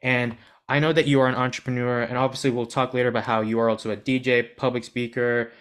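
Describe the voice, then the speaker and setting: A man's voice: medium pitch, level tone, quick pace, fairly bright tone, clear, resonant tone. A young American man hosting a podcast, earnest and rambling, often restarting his sentences. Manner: earnest, rambling, self-deprecating. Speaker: podcast host. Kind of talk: podcast interview.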